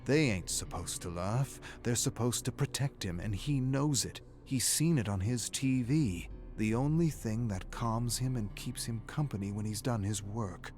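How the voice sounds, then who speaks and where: A man reading a scripted dark story as a narrator, in a measured, grave and brooding manner. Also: medium pitch, soft background music. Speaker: narrator of game lore readings.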